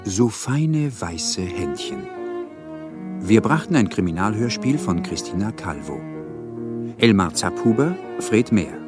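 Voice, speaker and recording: A man reading closing credits as a radio drama announcer, formal and measured, in a scripted style. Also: on the low side, big pitch swings. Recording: music behind the voice.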